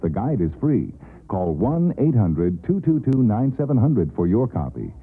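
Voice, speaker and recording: A man's voice: fairly low pitch, very animated, moderate pace, husky. An American man announcing a scripted radio advertisement. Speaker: commercial announcer. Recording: thin narrowband AM radio recording.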